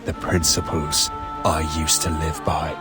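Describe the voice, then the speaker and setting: A man's voice: extremely low pitch, monotone. An actor playing a grave, regretful Irish assassin, narrating his life story solemnly in a video game, with an Irish accent. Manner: solemn, measured. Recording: loud music behind narration.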